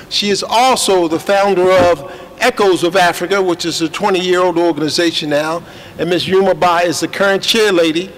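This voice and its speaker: A man's voice: high voice, fairly bright tone, slight background noise. A man acting as emcee at a reception, giving a rambling, folksy introduction. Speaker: event emcee. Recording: echoey hall with faint background.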